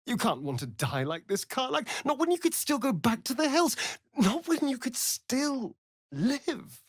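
A flamboyant, sardonic vampire aristocrat from a fantasy video game, with an English accent. His delivery is theatrical and drawling, leaping up and down in pitch with arch relish. It is recorded close and dry, at an unhurried pace. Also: sing-song, breathy edge, no room sound, heavily acted. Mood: earnest, pained.

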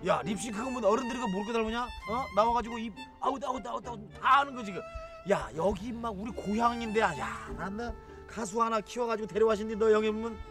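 An actor playing a blustering middle-aged agency boss, scolding in a sitcom. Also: male voice, high-pitched, brisk. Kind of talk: sitcom acting. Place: outdoor park. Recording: background music under dialogue.

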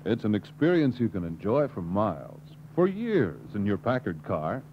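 Confident American car salesman, animated intonation, polished pitch, 1950s training film, dull hissy soundtrack. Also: normal speed. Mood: persuasive. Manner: smooth sales-pitch delivery. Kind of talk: 1950s industrial film acting.

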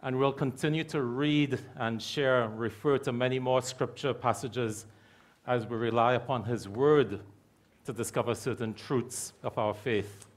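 A middle-aged man preaching at a pulpit microphone in a church hall with stage curtains. His pitch sits in an ordinary middle range, and he speaks with steady emphasis, slowing down to repeat key phrases. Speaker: pastor. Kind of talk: sermon.

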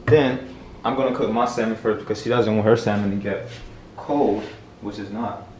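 A man's voice: lowish voice, steady tone, normal speed. A young man co-hosting a home cooking vlog, chatting casually and informally in slangy speech. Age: young adult. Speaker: home cook and vlog co-host. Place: home kitchen, indoors. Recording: echoey kitchen with loud frying noise. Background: frying sizzle and stirring.

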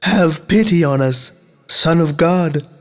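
A man's voice: a little high, steady tone, measured pace, voice pushed up, natural voice. A man voicing damned souls who cry out for mercy in a pleading manner, in a scripture reading. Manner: pleading, crying out. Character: wailing crowd of damned souls begging for mercy.

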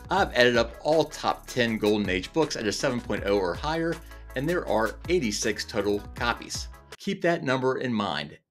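Lively, animated man hosting a collector show. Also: medium pitch. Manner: enthusiastic, playful asides. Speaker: comic book collecting channel host. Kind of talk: scripted video commentary. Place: indoor room with chandelier.

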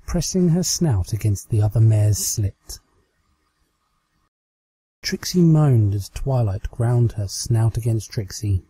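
British man, amateur story narrator, unhurried, soft dark timbre. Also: mid-range voice, husky, no echo, poor audio. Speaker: amateur fan fiction reader.

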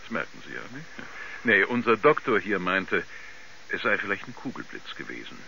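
An actor playing a folksy, skeptical neighbour man with a halting, dismissive way of talking in a radio drama. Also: low-pitched, big pitch swings, creaky, sharp tone, lo-fi sound, exaggerated voice. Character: folksy, skeptical male neighbour, chatty and hesitant. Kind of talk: 1970s German radio drama acting. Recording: hissy, band-limited 1970s radio recording.

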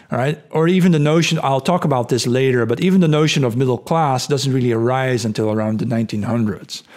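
Man, middle-aged, hoarse, mid-pitched, casual explainer, studio mic at home. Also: a little mellow. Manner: thinking aloud, many fillers and restarts. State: hoarse, voice cracking, clearing throat. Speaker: podcast host. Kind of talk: casual explanatory talk over screen share. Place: indoor room at home. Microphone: studio mic on boom arm.

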